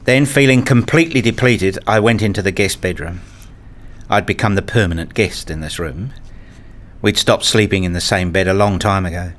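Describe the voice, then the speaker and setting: A man reading a scripted first-person story as narrator, in a measured, introspective manner. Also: deep voice.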